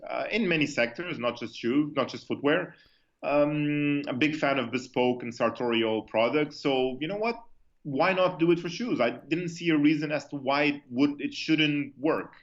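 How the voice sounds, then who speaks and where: A man from Lisbon, Portugal, answering conversationally as an entrepreneur guest in an interview. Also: medium pitch. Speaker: company co-founder, interview guest. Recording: dull, lo-fi sound.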